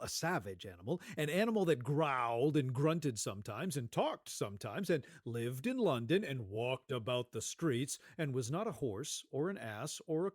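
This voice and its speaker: A man's voice: medium pitch, dry recording, clean studio sound. A man narrating a classic story in a dramatic, scripted storytelling style. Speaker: narrator, actor reading a story.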